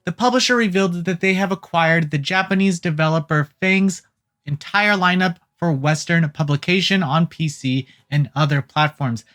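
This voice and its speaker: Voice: mid-range voice, fairly bright tone. Irreverent gaming news host over music. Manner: irreverent, jokey, swears casually. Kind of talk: casual gaming news commentary with joking asides. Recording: music playing behind the voice. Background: background music.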